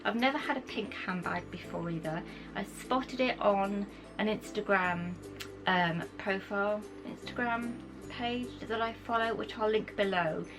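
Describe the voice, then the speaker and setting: Croaky chatty British woman, music behind. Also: mid-range voice. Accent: British English. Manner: chatty, enthusiastic, rambling. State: croaky from a cold, sore throat. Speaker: vlogger. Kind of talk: casual chat to camera. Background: background music.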